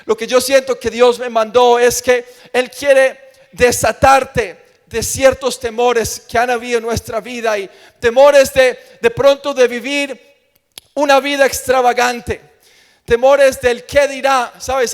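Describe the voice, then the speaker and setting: A man with a Colombian accent delivers a church sermon in a strikingly high-pitched voice. He is emphatic and leans on repeated phrases. His words ring out with the long reverb of a hall. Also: flat delivery, unhurried. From Colombia, grew up in Medellín. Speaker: preacher. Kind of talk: evangelical sermon with personal testimony.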